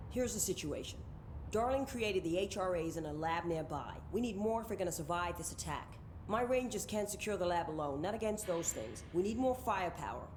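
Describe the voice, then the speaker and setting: An actress playing a brisk, no-nonsense head of operations in a video game, clipped and authoritative. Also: female voice, lowish voice, expressive, slight background noise, natural voice. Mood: commanding. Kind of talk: video game cutscene acting.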